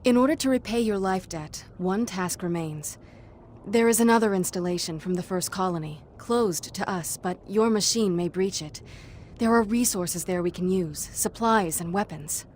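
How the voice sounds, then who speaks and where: An actress playing an earnest chief's daughter of a frontier tribe in a video game, composed and stiffly formal. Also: female voice, mid-range voice, normal speed, darkish tone, slight background noise, natural voice. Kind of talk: video game character dialogue.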